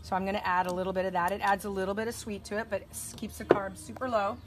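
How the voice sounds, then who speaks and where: A woman's voice: medium pitch, fairly even intonation, fast talker, soft background music. A middle-aged woman casually walking through a recipe step by step on an outdoor deck, recorded by a camera from a distance. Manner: chatty, walks through steps while working. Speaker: cooking demonstrator. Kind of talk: casual cooking demo.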